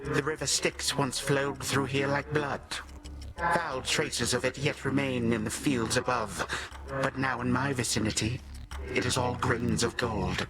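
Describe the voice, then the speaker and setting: An actor playing an ancient god of time in a video game, a sinister, condescending old villain who speaks in pompous, archaic, theatrical phrases and savors each word. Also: male voice, mid-range voice, steady tone, unhurried, lightly acted, rough recording. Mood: haughty disdain.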